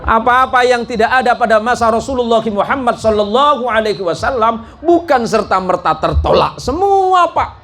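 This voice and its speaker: A man's voice: extremely high pitch, lively intonation, normal speed, few pauses, a bit bright. A man preaching a sermon to a congregation in a jocular, folksy way, often putting questions to his listeners. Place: mosque gathering before a live congregation. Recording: live talk with clear background noise.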